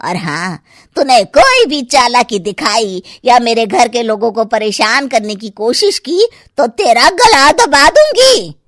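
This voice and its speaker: A woman's voice: fairly high pitch, sing-song, fairly bright tone, dry recording, clean studio sound, exaggerated voice. A woman playing a daughter-in-law who pretends to be possessed by a vengeful mother's ghost, commanding and menacing in melodramatic style. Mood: threatening. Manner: menacing, commanding, drawn-out words. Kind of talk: melodramatic Hindi story-video acting.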